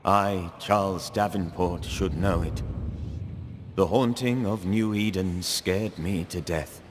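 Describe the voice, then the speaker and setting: An actor playing a grave, haunted ghostly gentleman who narrates a game story in a solemn, theatrical and measured way. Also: deep voice, background noise. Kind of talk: dramatic scripted narration.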